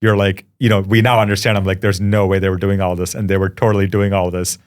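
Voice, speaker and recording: A man from India, in his thirties, speaks in a low voice as a podcast guest. He talks in a relaxed, reflective way, filling his pauses with "you know" as he thinks. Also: clear, resonant tone. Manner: thoughtful, reflective, often pausing with "you know". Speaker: guest, startup founder. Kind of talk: casual interview conversation.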